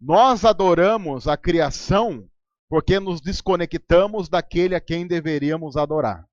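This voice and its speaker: A man's voice: on the high side, moderate pace, dry recording, muffled recording. A man preaching a sermon as pastor in a Brazilian Portuguese accent, talking conversationally with sarcastic asides and ironic voices. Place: church hall.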